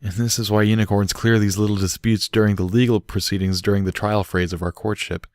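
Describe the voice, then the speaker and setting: A meek, uptight unicorn stallion in a storybook, read by a man. He speaks weakly and anxiously in a fairly low, subdued voice with a dry sound. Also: level tone, brisk, a little mellow, no echo, close to an everyday voice. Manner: weak, subdued.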